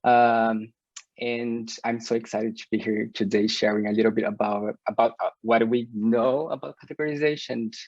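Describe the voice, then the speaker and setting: A man's voice: medium pitch, fairly even intonation, normal speed, a little mellow, no echo. A man from Brazil introducing himself as a workshop presenter, friendly and upbeat. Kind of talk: self-introduction. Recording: dull dry audio.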